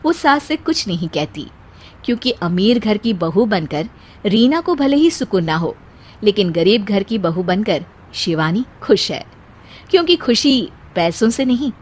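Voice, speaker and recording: Lively woman narrating moral tales. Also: mid-range voice, a little slow. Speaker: story narrator. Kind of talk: scripted storytelling narration for cartoon moral tales.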